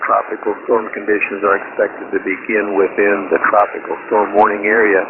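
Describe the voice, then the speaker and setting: Over a thin, static-laden shortwave link comes a man with a fairly low voice. He is a radio operator relaying an official weather advisory. His reading is halting, and he trips on names and corrects himself as he goes. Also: little pitch movement. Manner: halting, stumbles and self-corrects on place names. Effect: shortwave ham radio transmission. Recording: tinny, very noisy shortwave radio signal. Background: loud radio static and noise.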